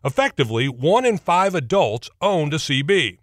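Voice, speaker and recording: A man's voice: a little high, big pitch swings, moderate pace, no room sound, studio quality. An American man hosting a history program, delivering a scripted essay in a folksy, wry, emphatic way. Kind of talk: scripted radio essay narration.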